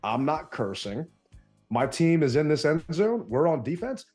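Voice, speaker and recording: Man telling an animated sports-fan story. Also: fairly high pitch, unhurried, soft background music. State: voice strained from yelling. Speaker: podcast co-host. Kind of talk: casual sports chat.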